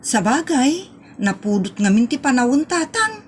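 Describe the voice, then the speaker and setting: A woman's voice: high-pitched, big pitch swings, moderate pace, slight background noise. A woman with an Ilocano accent playing a nagging, outspoken village woman in a comedy audio drama, drawn out and exclamatory. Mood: complaining.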